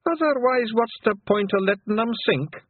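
Storybook pixie thief, played by a man: Scottish, tiny, greedy and eager, pitched high, narrow muffled sound. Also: normal speed, no room sound. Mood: insistent, greedy. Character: small excitable greedy pixie men, cheerfully thieving. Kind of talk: audiobook character acting.

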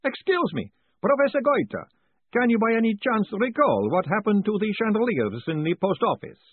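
A man voicing a cheerful, fussy male wizard professor who sounds high, bright and chatty. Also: sing-song, moderate pace, husky, dry recording, heavily acted. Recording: tinny phone-like audio.

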